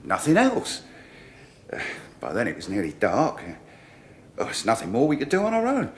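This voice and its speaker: Chummy joker, laughs a lot, stammers when grilled, lively voice, bit of hiss. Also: male voice, medium pitch, moderate pace. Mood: nervous. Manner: jokey, chummy, laughing, stammering under questioning. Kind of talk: 1980s radio drama acting.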